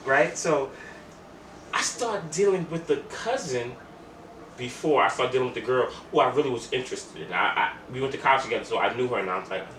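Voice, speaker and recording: A man's voice: mid-range voice, animated, fairly quick, a bit bright. A man speaks at a distance from the mic in a roomy, noisy space. He co-hosts a podcast with casual chat and storytelling, emphatic and slangy, often repeating himself. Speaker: podcast co-host. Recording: echoey room, distant mic, clear noise.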